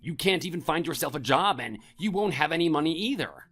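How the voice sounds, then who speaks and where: A man playing an arrogant, whiny husband in an audio drama, blustering with a husky voice. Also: on the high side, lively intonation, brisk, fairly bright tone, faint music behind. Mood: bitter, belittling. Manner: indignant, blustering, pleading. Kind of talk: amateur audio drama acting.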